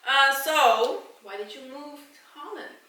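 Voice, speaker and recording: A woman's voice: sits high, a little slow, sharp tone. A woman asking a friend questions in a casual chat, playful and teasing. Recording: echoey room, mic at a distance.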